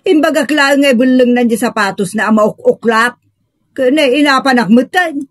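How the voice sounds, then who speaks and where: A woman playing a feisty village woman, colloquial and expressive, in an audio comedy drama. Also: sits high, moderate pace, no echo, clean studio sound. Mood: grumbling. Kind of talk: Ilocano radio-style comedy drama acting.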